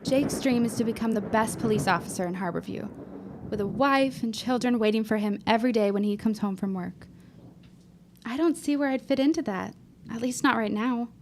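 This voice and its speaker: A woman's voice: on the high side, a little fast, a little mellow, slight background noise, close to an everyday voice. A woman playing an earnest, determined young woman of the 1910s, speaking thoughtfully in a period audio drama. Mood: anxious.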